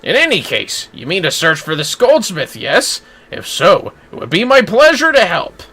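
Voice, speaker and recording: Man doing a florid, theatrical wandering warrior, lively pitch. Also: normal speed, slightly rough, full character voice. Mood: courteous, wistful. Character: dramatic wandering male warrior, boastful, florid storyteller.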